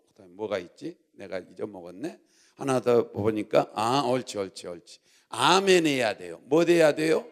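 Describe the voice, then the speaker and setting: Male pastor, high creaky voice, exhortatory and repetitive call-and-response sermon delivery. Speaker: pastor preaching to congregation.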